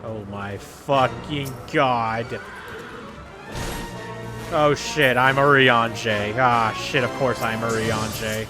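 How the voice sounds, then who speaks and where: A man's voice: medium pitch, fairly even intonation, takes it slowly. A man streaming a video game, reacting casually with blurted exclamations and swearing. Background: video game music and effects.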